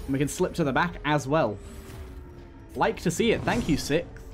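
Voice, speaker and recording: A man with a fairly high voice commentates casually on a game as he plays. He speaks to the characters directly and repeats himself, with music playing underneath. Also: animated, normal speed. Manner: talks to the game's characters, repeats phrases. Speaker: gaming host. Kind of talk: casual let's play commentary.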